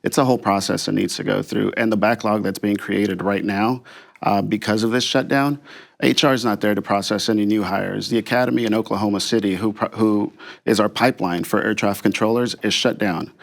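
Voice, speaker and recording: A man with a low, gravelly voice gives unscripted interview answers. He speaks as a union official for air traffic controllers, in a halting, earnest and measured way. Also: fairly even intonation.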